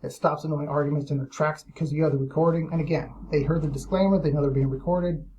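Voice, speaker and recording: Male, somewhat higher-pitched voice; blunt, emphatic business owner talking informally to clients. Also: fairly even intonation, fast, a little mellow, a little hiss or hum, rough recording. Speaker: lead generation business owner. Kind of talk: informal monologue explainer to clients.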